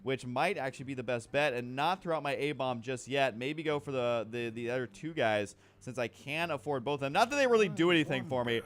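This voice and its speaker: A man's voice: medium pitch, expressive, brisk, hardly any pauses. A man hosting a gaming video with casual, rambling commentary, thinking aloud in a self-deprecating way. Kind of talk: casual game commentary over a card game.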